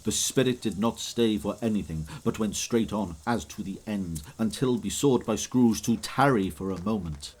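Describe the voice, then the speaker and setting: A man narrating an audio drama of a literary classic, expressive and measured in his storytelling. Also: fairly low pitch, a little hiss or hum, poor audio. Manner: expressive, measured, old-fashioned literary phrasing. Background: music.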